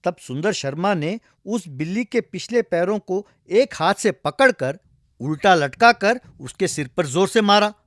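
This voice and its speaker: High-voiced male storyteller. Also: expressive, a little slow, a bit bright, dry recording, clean studio sound. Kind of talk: scripted comic folktale narration.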